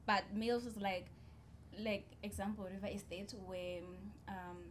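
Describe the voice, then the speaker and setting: A twenty-year-old woman, a student peer educator, talking earnestly in a casual interview and getting animated when she tells stories. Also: medium pitch, a little slow, a little hiss or hum.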